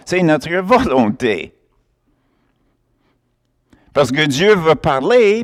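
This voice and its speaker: A male preacher, speaking very slowly and deliberately with a lively, swooping intonation. His voice sits fairly high for a man. He is emphatic and laughs easily as he acts out small exchanges in his sermon. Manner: emphatic, plays out little dialogues, laughs often.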